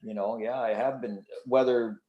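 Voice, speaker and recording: A man's voice: medium pitch, steady tone, normal speed, lo-fi sound. An older man chatting casually as an interview guest, rambling in a folksy, self-deprecating way about his hobby. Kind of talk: casual chat.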